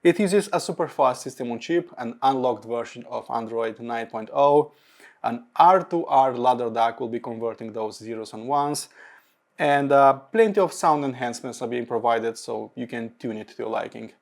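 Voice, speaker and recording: Man with a gravelly voice reviewing gadgets. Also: mid-range voice, normal speed. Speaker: audio gear reviewer. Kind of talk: semi-scripted product review narration.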